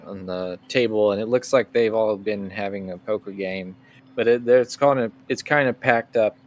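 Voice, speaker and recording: A man working as game master, improvising scene narration for a tabletop role-playing game in a halting, thinking-aloud way. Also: on the low side, fairly bright tone. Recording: clear background room noise.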